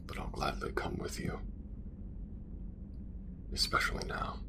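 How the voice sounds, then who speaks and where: A man voicing a calm, devout male alien assassin in an ASMR romance roleplay, whispering in slow, hushed, formal phrases. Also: close to an everyday voice. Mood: tender. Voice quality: gravelly whisper.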